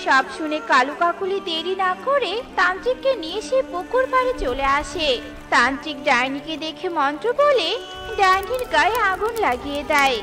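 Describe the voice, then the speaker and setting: A woman narrates a scripted cartoon tale. Her voice is extremely high in pitch. Music plays clearly behind the narration. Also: sing-song, moderate pace.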